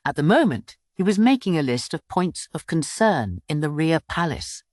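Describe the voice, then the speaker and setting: Lively low-voiced woman narrating an audiobook. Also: normal speed, dry recording, clean studio sound.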